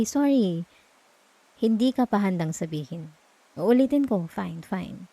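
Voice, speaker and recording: A woman with a Filipino accent playing a sweet, teasing, comforting girlfriend in a soft, intimate ASMR roleplay. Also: mid-range voice, no room sound. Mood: gentle, sincere. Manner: soft, tender, playful, soothing.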